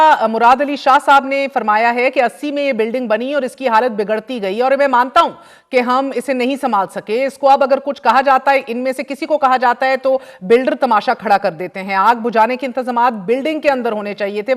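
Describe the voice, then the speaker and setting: A woman's voice: a little high, a little fast. A woman, hosting a news talk show, delivering scripted commentary with indignant, pointed rhetorical questions.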